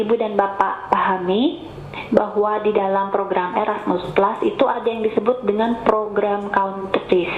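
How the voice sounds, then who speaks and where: A woman's voice: medium pitch, a little hiss or hum. Woman with a cough on a tinny call. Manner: patient, explanatory, addresses audience politely. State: has a cough. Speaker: presenter. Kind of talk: webinar presentation. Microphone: remote call mic. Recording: tinny, echoey online call audio.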